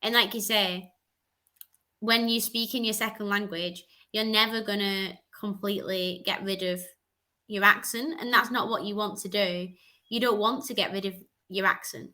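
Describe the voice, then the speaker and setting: A woman's voice: medium pitch, steady tone, moderate pace, pushed and bright. A woman who is a native English speaker, tutoring on a video call, encouraging and chatty, with lots of "like" and "just". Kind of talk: giving feedback on a video call.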